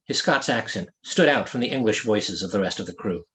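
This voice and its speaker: A man narrating a story as an author reading his own novel, in an expressive storytelling delivery. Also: lowish voice, fairly quick, a little hiss or hum. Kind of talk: scripted audiobook narration.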